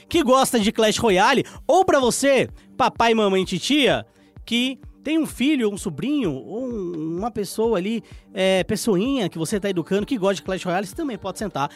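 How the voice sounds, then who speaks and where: Male esports co-host, Brazilian accent, high register, casual explainer delivery over light music bed. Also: animated, normal speed. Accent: Brazilian Portuguese. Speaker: esports show co-host and commentator. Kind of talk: casual studio news chat.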